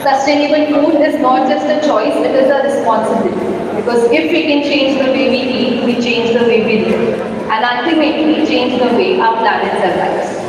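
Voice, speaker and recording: A woman's voice: a little high, moderate pace. Emphatic young woman in a hall. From India. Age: young adult. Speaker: pageant contestant. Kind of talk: reciting a prepared speech. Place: large indoor events hall. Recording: echoey hall from far off, crowd noise.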